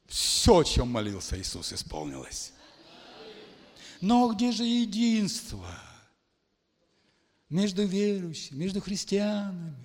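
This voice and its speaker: A man's voice: high-pitched, wildly swooping pitch, slow pace, long pauses. Preaching man echoing through a hall. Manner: emphatic, dramatic swings from quiet to shouting. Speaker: pastor. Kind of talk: charismatic sermon. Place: church hall. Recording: long hall reverb.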